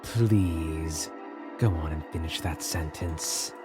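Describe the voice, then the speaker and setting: A man's voice: very deep voice, slow, over music. A man playing a cold, disdainful villain in an audio drama, speaking in a curt, threatening, condescending manner. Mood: menacing.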